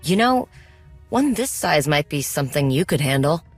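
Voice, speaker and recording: A woman's voice: sits low, very animated, normal speed, no room sound, faint music behind, exaggerated voice. A woman voicing a proud, practical, guarded warrior mechanic in a video game cutscene. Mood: guarded concern.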